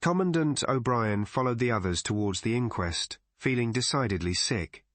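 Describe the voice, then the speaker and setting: A man reading literary mystery narration in a measured, deliberate way. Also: medium pitch, animated, dry recording. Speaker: audiobook narrator.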